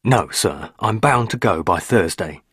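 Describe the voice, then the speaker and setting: A man's voice: fairly low pitch, animated, measured pace, husky, dry recording, clean studio sound, heavily acted. A man voicing an elderly, stubborn, superstitious rustic housekeeper woman who speaks in country dialect. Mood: ominous. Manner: rustic dialect grammar, hushed ominous.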